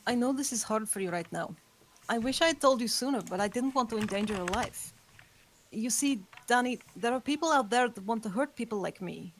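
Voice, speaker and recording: A woman's voice: fairly high pitch, animated, brisk, a little hiss or hum. Woman reading as a young vampire girl, earnest, urgent, protective of her roomie. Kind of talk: table read of a script.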